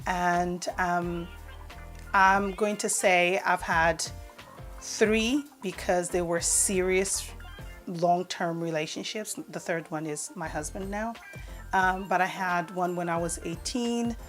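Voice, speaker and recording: A woman in her forties, a native Portuguese speaker, talks through a get-to-know-me video at home in a fairly low voice. She reads each question aloud, then answers in a relaxed, chatty way with frequent "um"s. Soft music plays faintly behind her. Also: slow pace. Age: 40s. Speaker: vlogger.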